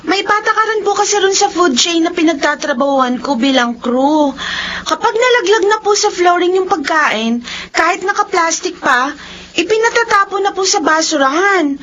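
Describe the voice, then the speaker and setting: A woman's voice: very high-pitched, expressive, normal speed, a bit bright. A woman playing an earnest, polite young granddaughter with a Filipino accent in a radio drama. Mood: explaining. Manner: polite, explanatory. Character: dutiful, earnest young granddaughter, fast-food crew worker. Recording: slightly dull radio drama recording.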